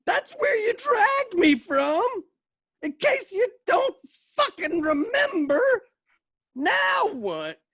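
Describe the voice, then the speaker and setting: Brief: a performer as a beaten male prisoner in a Western audio play, shrieking high, cursing, wildly swooping. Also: wildly swooping pitch, takes it slowly, fairly bright tone, full character voice. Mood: agonised, furious. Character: beaten male captive, foul-mouthed, screaming in pain. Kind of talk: Western radio drama acting.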